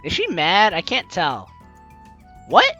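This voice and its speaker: A woman's voice: lowish voice, wildly swooping pitch, slow, pushed and bright, lo-fi sound, soft background music. A young woman hosting a game playthrough, reading the story aloud expressively and commenting as she goes, close on the mic. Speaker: let's play host.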